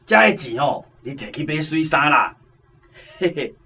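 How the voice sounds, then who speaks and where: Gangster: smug Taiwanese radio-play villain, highish swooping voice, raspy, offhand cruelty. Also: male voice, big pitch swings, normal speed, pushed and bright, exaggerated voice. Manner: cocky, offhand. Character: smug, callous male gangster gloating over ransom money. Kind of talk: radio drama acting.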